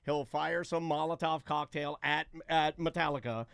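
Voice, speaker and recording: A man's voice: a little high, moderate pace, creaky, fairly bright tone, smooth, no room sound, studio quality. A man hosting a talk show in casual banter, ranting and repeating himself for emphasis. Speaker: podcast and radio show host.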